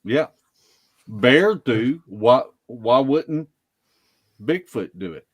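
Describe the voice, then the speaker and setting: A man telling stories casually as a podcast guest, in a halting, deliberate way. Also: mid-range voice, lively intonation, muffled recording, slight background noise. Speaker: podcast guest, Bigfoot investigator. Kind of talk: casual storytelling.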